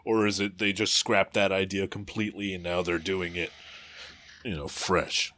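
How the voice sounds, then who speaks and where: Deep bright-toned podcast co-host. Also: male voice, level tone, normal speed, dry recording. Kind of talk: casual chat.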